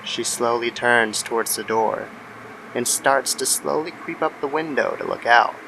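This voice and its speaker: Noisy ambient background, male narrator, mid-pitched voice, scripted horror storytelling. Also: a little slow. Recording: clear background noise under the voice.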